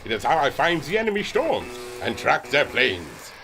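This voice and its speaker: An actor playing an earnest, excitable inventor and airship captain in a retro adventure radio drama, with formal, theatrical diction. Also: male voice, medium pitch, moderate pace, gravelly, soft background music, heavily acted.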